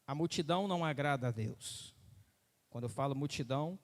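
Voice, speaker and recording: A pastor preaches with emphatic repetition, pressing his listeners again and again to pay attention. His voice sits a little high for a man. It rings out in a hall with a long reverb trailing after each phrase. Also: a little fast. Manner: emphatic preaching, repeating phrases, asking the crowd if they follow. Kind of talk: sermon.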